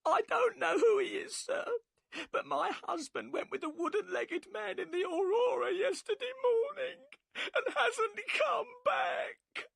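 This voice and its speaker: A man's voice: extremely high pitch, slow, creaky, fairly bright tone, no room sound, voice pushed up, exaggerated voice. A man voicing a worried, tearful middle-aged wife of a boatman, who answers deferentially and keeps calling him sir. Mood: weeping. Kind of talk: audiobook character voice.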